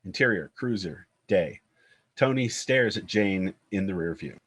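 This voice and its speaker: A man's voice: sits low, animated, measured pace, a bit bright, slightly rough. A man giving scripted narration, reading out the scene directions of a screenplay at a table read.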